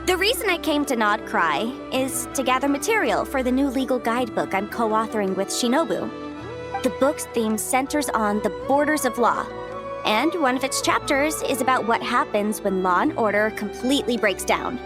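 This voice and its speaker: A woman playing a cheerful young legal consultant in a video game, bubbly, chatty and expressive. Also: fairly high pitch, moderate pace, music in the background, lightly acted. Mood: chatty, upbeat. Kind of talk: animated video game voice acting.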